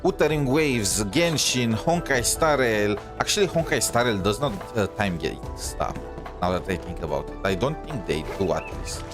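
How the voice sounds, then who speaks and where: A man's voice: fairly low pitch, lively intonation, moderate pace. A man chatting casually on a gaming stream, rambling and thinking aloud, often checking for agreement. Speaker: game livestreamer. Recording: music playing behind the voice. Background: music.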